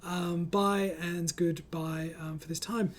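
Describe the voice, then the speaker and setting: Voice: mid-range voice, fairly even intonation, slow pace. A host of a casual online group chat, reading out comments and speaking in an encouraging, reassuring way over a voice call.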